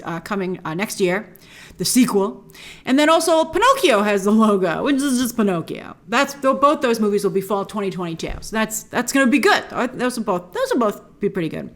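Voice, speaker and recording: A woman hosting an entertainment reaction show, giving excitable, emphatic, chatty and informal commentary. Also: a little high.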